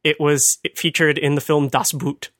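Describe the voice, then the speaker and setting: A man's voice: on the high side, level tone, normal speed, over loud music. A man hosting a podcast, explaining things in a casual, chatty way and laughing at his own asides. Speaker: podcast host.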